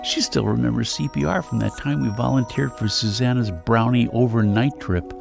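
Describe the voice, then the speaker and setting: An actor playing a worn-out, wry older husband and father in an audio comedy, speaking in halting, exclamatory bursts. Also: mid-range voice, creaky, music in the background. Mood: wistful, wry. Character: exasperated, worn-out older husband and father, wryly self-deprecating. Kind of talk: stage-comedy audio drama acting.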